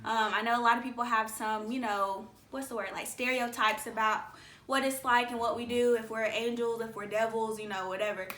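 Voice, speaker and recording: A young woman with a high, bright voice hosts a casual vlog, chatty and friendly as she leads the questions. She sounds like she is a little distant from the mic in an echoey room. There is a faint hiss behind her. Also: fairly even intonation, fairly quick. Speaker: YouTuber host. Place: indoor room with guests.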